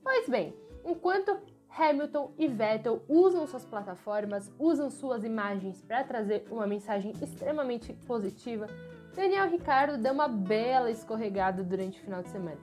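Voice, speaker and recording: A young Brazilian woman speaks in a fairly high voice, presenting motorsport commentary to camera. Her delivery is emphatic and expressive, recorded at home with music playing behind her. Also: moderate pace. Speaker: motorsport channel host. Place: small furnished room at home, indoors.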